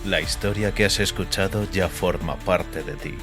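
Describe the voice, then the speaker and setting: A man's voice: low voice, normal speed. A man narrating a scripted short story for an audio fiction show. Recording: music under the voice. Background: music.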